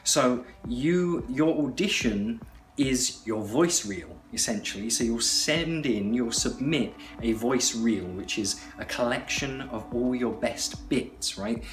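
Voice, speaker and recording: A man's voice: mid-range voice, slow pace, faint music behind. A man hosting an audio tutorial, explaining things informally in a conversational, rambling way and often repeating himself. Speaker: host of an audio tutorial channel. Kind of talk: informal explainer talk to camera.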